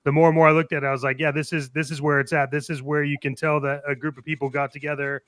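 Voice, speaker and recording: A man's voice: fairly high pitch, little pitch movement, fast talker, fairly bright tone, dry recording. A man talking in an earnest, rambling way in a casual group chat, often acting out what other people say. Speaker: online radio show panelist.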